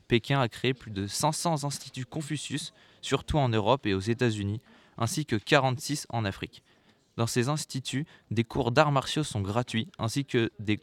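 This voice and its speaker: A man's voice: mid-range voice, dry recording. A young man reading a prepared school report aloud, with rhetorical asides and occasional self-corrections. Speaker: student presenter.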